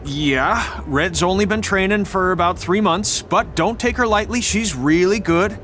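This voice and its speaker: A man's voice: high-pitched, a little slow, a bit bright, slight background noise. A man playing a dry, teasing wrestling trainer in a video game cutscene. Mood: matter-of-fact.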